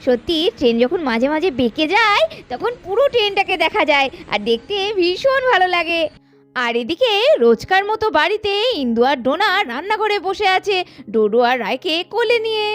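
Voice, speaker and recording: A woman's voice: very high-pitched, big pitch swings, moderate pace, fairly bright tone. A woman narrating a story. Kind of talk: story narration with acted character voices.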